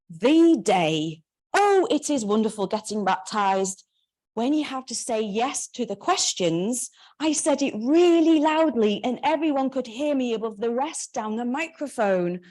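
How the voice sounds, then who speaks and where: Brief: earnest, gushing teen girl reading her diary, voice lifted high , done by a woman. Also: a little mellow. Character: eager teenage girl writing in her diary.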